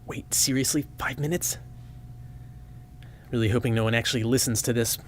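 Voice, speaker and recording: Clear background noise surrounds an actor playing a wry male archivist. His mid-pitched voice is natural and a little uneasy, as in an audio drama about a new job. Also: steady tone, moderate pace, close to an everyday voice. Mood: wry muttering. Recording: clearly noisy room recording.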